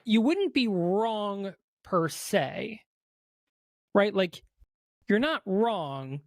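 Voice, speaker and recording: High-voiced man hosting a podcast. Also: lively intonation, dry recording. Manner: quick, loose and talkative, many fillers. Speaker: podcast host. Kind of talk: casual movie review chat.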